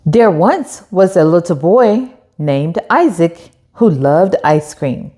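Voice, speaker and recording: A woman's voice: on the low side, lively intonation, warm tone. A woman hosting a children's storytime, speaking directly and encouragingly to young listeners as she reads aloud. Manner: encouraging, addresses children directly, deliberate phrasing. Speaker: storytime host. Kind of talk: children's read-aloud narration.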